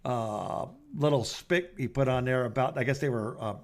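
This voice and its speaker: A man co-hosting a podcast in casual conversation, speaking in a halting, folksy, rambling way. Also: medium pitch. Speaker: podcast co-host. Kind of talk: casual phone chat.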